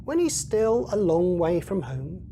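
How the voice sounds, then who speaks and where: A woman's voice: lowish voice, sing-song, a little slow, warm tone. A woman reading a children's Bible story aloud as a scripted narration for young listeners. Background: music.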